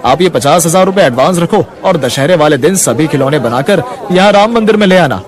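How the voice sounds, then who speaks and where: A man's voice: a little high, animated, brisk, voice pushed up, lightly acted. A man doing a confident kind young buyer. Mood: firm.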